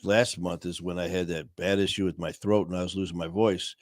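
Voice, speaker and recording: A man hosting a casual live stream, talking warmly to viewers in a rambling way with many fillers and restarts. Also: sits low, fairly even intonation, fairly quick, dry recording, studio quality. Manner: friendly and upbeat, addressing viewers. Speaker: livestream host.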